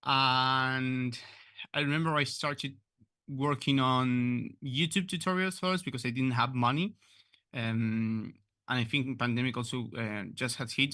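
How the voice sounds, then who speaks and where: Argentinian man, bright dry voice. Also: mid-range voice, no room sound. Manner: halting, many fillers and restarts, non-native phrasing. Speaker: guest artist on a livestream. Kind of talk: casual storytelling on a livestream. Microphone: home computer mic on a video call.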